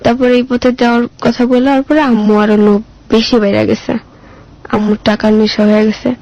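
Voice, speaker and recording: Creaky-voiced Bangladeshi teenage girl. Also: on the high side, flat delivery, fairly quick, a little mellow, no room sound, lo-fi sound. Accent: colloquial Bangladeshi. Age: mid-teens. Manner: simple, colloquial, short answers. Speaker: guest telling own life story. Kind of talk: plain spoken personal storytelling in interview.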